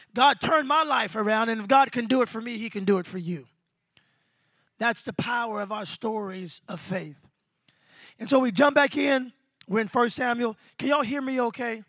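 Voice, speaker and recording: Pastor, 50, hoarse and losing his voice, high-pitched, dry close sound, energetic preaching. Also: no echo. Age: 50s. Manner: energetic, calls out to congregation. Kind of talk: sermon. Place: small venue with congregation.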